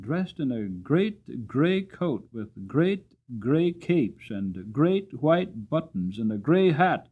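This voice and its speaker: A man reads as a dramatic audiobook narrator. His pitch moves widely and his phrasing is deliberate and expressive, shaping each sentence like a storyteller. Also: narrow, tinny audio.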